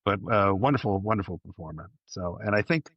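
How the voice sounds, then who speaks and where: A man's voice: low voice, lively intonation, fairly quick, husky, dull audio. A man hosting a casual fan discussion, effusive and emphatic, often repeating words for emphasis. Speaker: podcast host.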